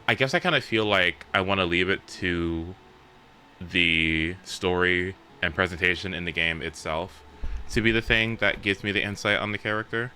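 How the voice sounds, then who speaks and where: Young adult man, game streamer, low pitch, bright tone, rambling, sweary casual chat, faint noise. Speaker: live streamer. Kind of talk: casual livestream chat while playing a game.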